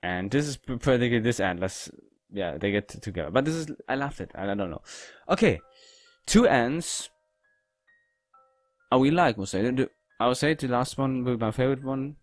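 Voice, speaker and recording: A man giving casual game commentary in halting, non-native English phrasing. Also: mid-range voice, expressive, dry recording, soft background music. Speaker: gaming video host.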